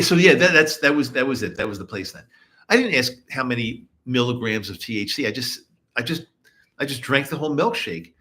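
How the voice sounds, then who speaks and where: A man's voice: medium pitch, fairly bright tone. A middle-aged man from the US East Coast hosting a live podcast at home, chatting casually with listeners and often repeating himself. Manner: rambling, repeats words and restarts often. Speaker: podcast host. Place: home, in Utah.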